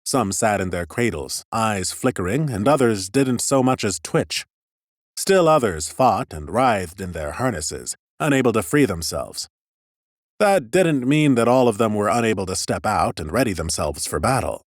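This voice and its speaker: A man reading expressive first-person scripted narration as an audiobook narrator. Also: on the low side, moderate pace, dry recording, studio quality.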